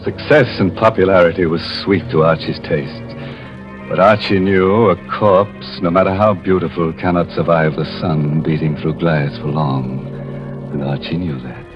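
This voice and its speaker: An actor playing a knowing, sinister narrator, measured and dramatic, in 1950s radio horror style. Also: male voice, deep voice. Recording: muffled vintage radio, music behind.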